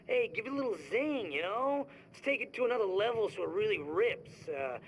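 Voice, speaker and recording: Bragging surfer-ish producer, lively voice. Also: male voice, high voice, brisk, a little hiss or hum, poor audio. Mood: boastful. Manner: boastful, laid-back slang. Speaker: radio show guest.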